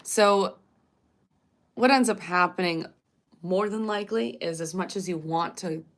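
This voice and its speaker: A woman talks casually to her live stream chat, her voice raspy and stuffed up from a lingering cold. She sits a little low in pitch and wanders from thought to thought, backtracking and correcting herself. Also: a little slow, fairly bright tone. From Canada. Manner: rambling and self-correcting. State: congested from a cold.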